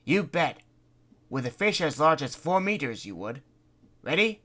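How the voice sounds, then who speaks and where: A woman's voice: low-pitched, sing-song, measured pace, fairly bright tone, voice pushed up, exaggerated voice. A woman voicing a bossy man who leads a ship's crew and demands to be obeyed. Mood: teasing. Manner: pushy, demanding. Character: bossy adult man, ship's expedition leader.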